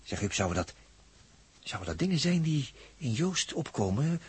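A wry, excitable space crewman in a vintage radio play. His voice is very husky and swings widely in pitch. He tends to repeat himself in sardonic exclamations. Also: moderate pace. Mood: bewildered. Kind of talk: 1960s Dutch radio drama acting.

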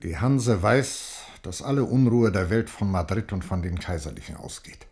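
An actor playing a dignified, anxious Hanseatic envoy in a German radio play, formal and deliberate in address. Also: male voice, sits low, expressive, darkish tone. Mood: solemn, concerned.

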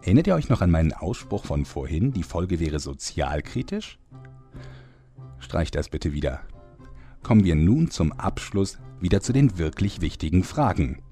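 An actor playing the wry narrator of a fantasy soap parody in scripted, mock-solemn announcer style. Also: male voice, low-pitched, sing-song, moderate pace, dark tone, faint music behind. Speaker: narrator of a comedy audio drama.

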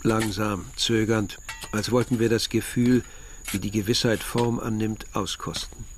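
An actor playing a bitter, brooding young man who narrates a literary radio play in a measured, reflective way, with a husky, gravelly voice. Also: on the low side, flat delivery, a little hiss or hum, natural voice. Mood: tense, haunted.

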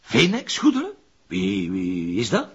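An actor playing a probing police detective with a raspy, sharp voice, questioning insistently in a TV drama. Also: male voice, on the low side, wildly swooping pitch, measured pace, exaggerated voice. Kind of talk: television crime drama acting. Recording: muffled lo-fi TV sound.

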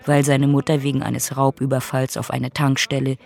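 A man's voice: medium pitch, completely flat delivery, normal speed, creaky, darkish tone, faint music behind. A man narrating a documentary radio drama from a script.

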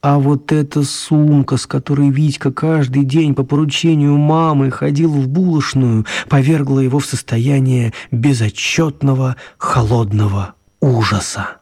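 An actor playing a storyteller, narrating a children's radio tale with measured dramatic pauses. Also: male voice, mid-range voice, fairly even intonation, a little mellow. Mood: dramatic narration. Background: music.

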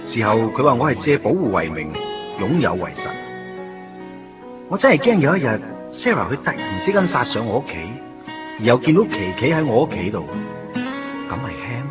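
A male actor as a young Hong Kong Cantonese man torn over his first love, protective yet evasive. His pitch moves widely between low-key brooding and firmer speech, in a muffled radio drama recording over music. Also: sing-song, fairly quick, pauses often, natural voice. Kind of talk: radio drama dialogue and inner monologue.

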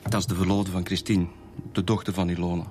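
An actor playing a polite, measured Flemish police detective in a radio drama, a little tentative. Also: male voice, low voice, flat delivery, darkish tone, faint music behind, natural voice. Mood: reporting. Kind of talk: Dutch-language radio drama acting.

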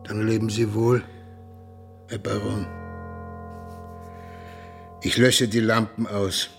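A man's voice: lowish voice, little pitch movement, slow, vocal fry, a bit bright, soft background music, exaggerated voice. A man in a radio play acting a silver-bearded café owner, grave and courteous, with a formal, subdued delivery. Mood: grave, resigned.

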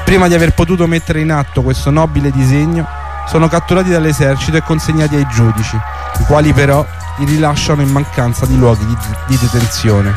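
A man's voice: fairly high pitch, fast talker. A man reading a scripted historical account in literary prose as the narrator of a radio drama. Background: hip hop music under the voice.

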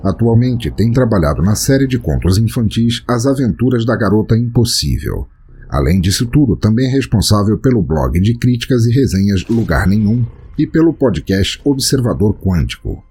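A man's voice: lowish voice, sing-song, normal speed, warm tone, husky. A man with a Brazilian accent hosting and narrating a scripted storytelling podcast in a theatrical, florid manner. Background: music.

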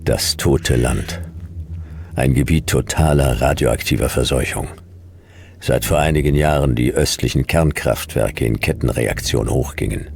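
Very deep, gravelly hard-boiled detective. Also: male voice, unhurried, heavily acted. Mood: grim, resolute. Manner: terse, dry, brooding. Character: laconic, world-weary male hard-boiled detective narrating his adventure. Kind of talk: radio noir narration and dialogue. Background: music.

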